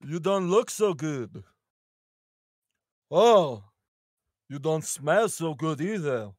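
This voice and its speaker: A man voicing a friendly, simple-minded giant at a screenplay table read, with a halting delivery. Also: a little high, lively intonation, darkish tone, dry recording, studio quality. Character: gentle slow-witted giant brute, adult man, friendly. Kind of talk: live table read of a screenplay over video call. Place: home rooms, online video call.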